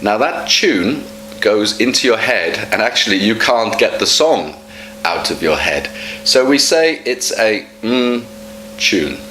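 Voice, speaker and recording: A man teaching English in a relaxed, casual spoken lesson, humming the gaps for learners to fill. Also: lowish voice, takes it slowly, slight background noise, low-quality recording. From Wales.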